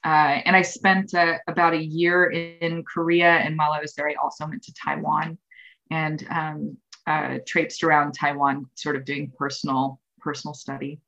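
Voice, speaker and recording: Low-voiced woman, lo-fi interview audio. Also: little pitch movement, normal speed, a bit bright. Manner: rambling, with many ums and restarts. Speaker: interview guest. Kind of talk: casual interview conversation. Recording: dull lo-fi audio.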